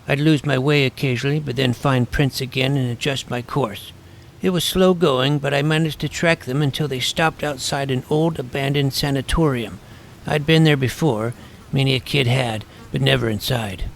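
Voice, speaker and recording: A man's voice: medium pitch, normal speed, gravelly, slight background noise, lightly acted. A man playing an earnest, imaginative boy detective, narrating his own case in wordy, mock-serious audio drama style. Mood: earnest, suspenseful. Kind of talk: scripted first-person narration with dialogue.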